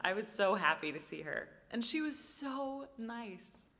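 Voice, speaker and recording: A woman's voice: medium pitch, expressive, a little slow. A woman recounting a personal story as the guest in an interview. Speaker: interviewee, opera singer. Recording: loud music and crowd behind voice.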